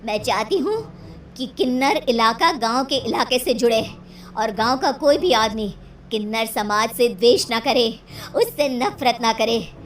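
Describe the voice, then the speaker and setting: A woman's voice: fairly high pitch, expressive, measured pace, recorded from a distance. A woman voicing a menacing, vengeful witch ghost in a horror story. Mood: threatening.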